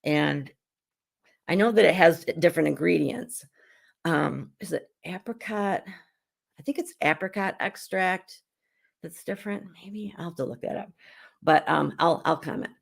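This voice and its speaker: A middle-aged woman who is hoarse while getting over an illness, chatting casually as she hosts a live skincare demonstration at home. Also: lowish voice, a little slow. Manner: chatty and rambling, with frequent restarts. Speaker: beauty consultant and show host.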